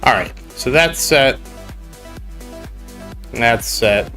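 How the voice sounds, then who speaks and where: A man's voice: mid-range voice, lively intonation, very slow, sharp tone, soft background music. Congested man chatting on a game stream. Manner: grumbling, rambling, exasperated. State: congested with a cold. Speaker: gaming streamer. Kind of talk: casual live stream chat.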